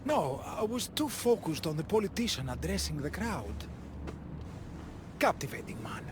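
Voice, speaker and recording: A fussy, indignant dead soul in a video game. His high voice is animated and peevish, full of haughty complaint, with music clearly underneath. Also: normal speed. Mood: eager, excited. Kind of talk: video game dialogue acting.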